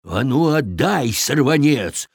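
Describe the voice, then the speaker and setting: A man's voice: mid-range voice, sing-song, slow, slightly rough, no room sound, studio quality, heavily acted. A man voicing a grumpy, demanding bear in a children's story, growling and grumbling. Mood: gruff, demanding.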